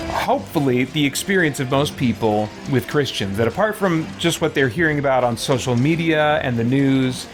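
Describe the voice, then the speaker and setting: Male streamer, mid-range pitch, casual rambling gameplay commentary, thinking aloud over clear background music. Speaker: game streamer. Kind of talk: casual let's play commentary. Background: game music.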